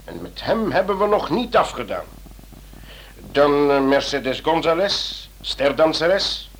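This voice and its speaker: An actor playing an authoritative, businesslike senior police official in a radio drama, speaking in formal, deliberate phrases. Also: male voice, on the high side, very animated, exaggerated voice. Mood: methodical. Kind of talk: Dutch-language radio drama acting. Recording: noisy old radio drama recording.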